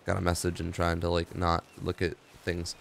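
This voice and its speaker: A young man with a hoarse, worn-out voice, rambling casually through game patch notes as he plays. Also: sits low, completely flat delivery, normal speed, slight background noise. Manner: rambling, offhand, reading patch notes aloud with asides. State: hoarse, tired voice. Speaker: gaming video host. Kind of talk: casual gameplay commentary.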